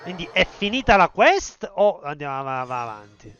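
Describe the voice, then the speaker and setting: A man's voice: on the high side, big pitch swings, a little fast, fairly bright tone, muffled recording. A man with an Italian accent giving casual live commentary as a game streamer.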